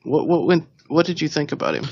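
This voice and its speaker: A man hosting an interview, asking questions in a halting way and often rephrasing them. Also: a little high, gravelly, a little mellow, breathy edge, no room sound, dull audio. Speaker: interviewer, host. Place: hotel room.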